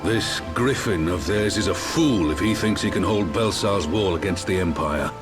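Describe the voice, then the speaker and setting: An actor playing a stern military leader in a fantasy game, with a decisive, authoritative and formal delivery. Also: male voice, sits low, normal speed, over music, lightly acted. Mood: scornful, grim. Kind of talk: fantasy game cutscene acting.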